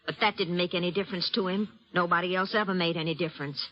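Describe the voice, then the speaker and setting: An actress playing a protective, wounded frontier aunt in an old-time radio western, speaking earnestly and emotionally with a husky voice. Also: female voice, fairly low pitch, fairly even intonation, quick pace, natural voice. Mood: indignant, upset. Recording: dull, lo-fi old radio recording.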